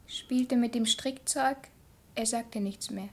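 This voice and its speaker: A woman's voice: a little high, steady tone, slow, a little mellow. A woman playing an enigmatic, whimsical child in a literary radio drama, speaking in terse, lyrical, cryptic lines.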